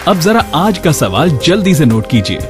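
A man's voice: on the high side, big pitch swings, moderate pace, loud music behind the voice, a loud crowd. A man hosting a radio show, reading scripted narration and announcements.

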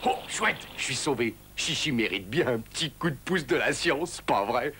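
A man voicing a big, boastful, excitable cartoon pig who fancies himself an inventor, pompous and full of exclamations. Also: medium pitch, moderate pace, noisy background, lightly acted. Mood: scheming.

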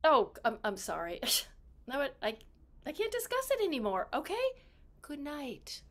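A woman's voice: high-pitched, huge pitch swings, normal speed, a bit bright, heavily acted. A woman acting a volatile, tearful and stubborn young newlywed wife in a comedy scene, dramatic and repeating her words. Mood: resolved, hurt.

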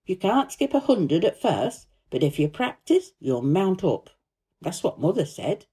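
A woman voicing a cheerful, warm-hearted young Yorkshire housemaid in a storybook, chatty and blunt in dialect. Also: on the low side, big pitch swings, measured pace, breathy edge, full character voice. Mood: encouraging.

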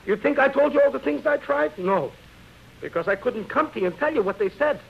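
A man's voice: extremely high pitch, expressive, fairly quick, creaky, full character voice. A man acting a blunt, exasperated, streetwise theatrical agent in a 1930s radio drama. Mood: bitter. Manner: emphatic, streetwise, colloquial. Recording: muffled, noisy vintage radio recording.

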